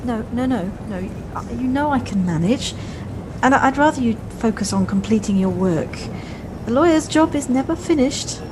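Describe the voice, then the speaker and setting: A woman's voice: on the high side, expressive, moderate pace, close to an everyday voice. A woman acting a flustered, wistful middle-aged woman in an audio play, with hesitant, reflective speech that repeats words. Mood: flustered, reassuring. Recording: noisy home recording on personal device.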